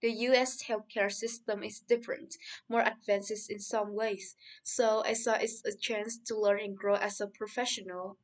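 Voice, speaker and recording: A man's voice: high-pitched, little pitch movement, normal speed, far from the mic, close to an everyday voice. A man from Japan playing an earnest healthcare worker, a guest in a scripted interview for English learners.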